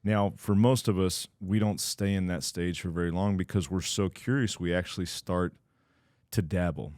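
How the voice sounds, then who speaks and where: A man's voice: low voice, steady tone, normal speed, a little mellow, no echo, studio quality. A man hosting a podcast, teasing his co-host and then laying out his ideas in long stretches of casual talk. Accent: American.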